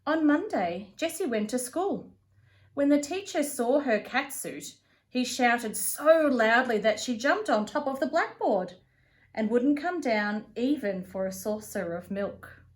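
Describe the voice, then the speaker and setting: Female storyteller, higher voice, very unhurried, expressive read-aloud for young children. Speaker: storyteller reading a children's picture book.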